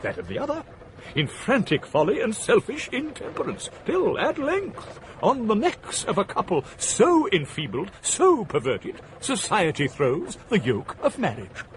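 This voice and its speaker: An actor playing a misanthropic gentleman philosopher in a period radio drama, declaiming with a British accent in vehement, grandiloquent rhetoric. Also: sits high, huge pitch swings, a little slow, a little hiss or hum, heavily acted, low-quality recording. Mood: ranting, bitter.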